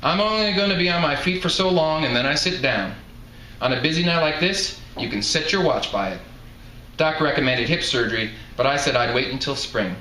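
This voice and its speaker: A man's voice: fairly high pitch, lively intonation, brisk, sharp tone. Man reading as chatty old woman bartender, echoey. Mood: blunt, matter-of-fact. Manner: folksy, chatty. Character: chatty, blunt elderly woman bartender, retired. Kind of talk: novel reading aloud. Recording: echoey room, faint background noise.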